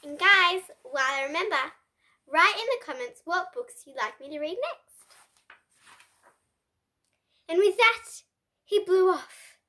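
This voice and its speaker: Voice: very high-pitched, lively intonation, measured pace, long pauses, pushed and bright. A child hosting a read-aloud, reading picture books expressively with playful, dramatic asides and questions to young listeners. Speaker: host of a children's read-aloud book channel.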